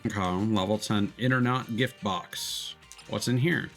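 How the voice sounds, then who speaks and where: A man's voice: on the low side, a little slow, gravelly, a bit bright. A man streaming a video game, chatting casually and reading the game's text aloud, often stumbling over repeated filler words. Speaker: VTuber streamer. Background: faint game music.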